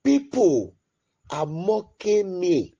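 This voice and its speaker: A man leading an impassioned, pleading prayer, swinging between loud cries and low murmurs. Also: big pitch swings, extremely slow, gravelly, slightly rough, dull audio. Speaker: prayer leader. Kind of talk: fervent spoken prayer.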